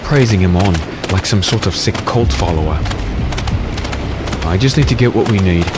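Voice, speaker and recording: An actor playing a weary, haunted warrior on the run in a dark fantasy audio drama, brooding and dramatic in delivery. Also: male voice, low-pitched, animated, normal speed. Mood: brooding, dread. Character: haunted warrior fugitive, weary, grim, dreading a tyrant's vengeance. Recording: music behind the voice.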